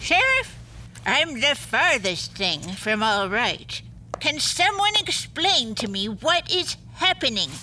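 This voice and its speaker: An actress playing a fretful, easily horrified, God-fearing small-town matron in an audio drama, with melodramatic delivery. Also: female voice, sits high, big pitch swings, slow pace, sharp tone, a little hiss or hum, heavily acted, rough recording. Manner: melodramatic, old-fashioned exclamations.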